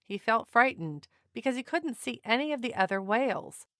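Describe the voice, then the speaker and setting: A woman narrating a children's picture book aloud in an expressive, deliberate storytelling manner. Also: on the high side, no room sound, clean studio sound.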